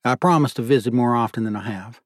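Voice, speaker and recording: A narrator plays a courteous man who is a regular diner. He is sincere and gently playful. The male voice is mid-range and recorded very dry. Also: medium pitch, quick pace, dry recording, lightly acted.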